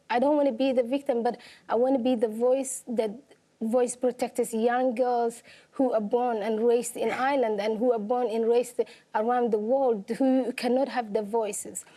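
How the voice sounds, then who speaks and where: A woman's voice: fairly high pitch, dark tone. A young woman from Somalia, speaking earnestly as an interview guest. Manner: earnest, simple phrasing, laughs easily. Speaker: guest, activist. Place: television studio.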